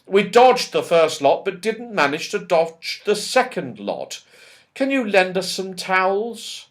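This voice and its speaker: Storybook boy, practical and earnest, put on by a male reader, raised pitch, unhurried. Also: big pitch swings, heavily acted. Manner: practical, a little cross. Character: brisk sensible young schoolboy.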